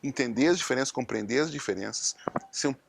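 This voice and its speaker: A man's voice: mid-range voice, fast, gravelly. A man speaking earnestly and measuredly in an interview, in the manner of an academic. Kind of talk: reflective interview commentary. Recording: noisy background with clicks. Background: noise and clicks.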